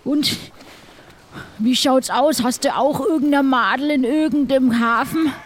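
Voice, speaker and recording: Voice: sits high, unhurried, slight background noise. A player in an improvised audio play voicing a chummy, seasoned seafarer talking with the crew.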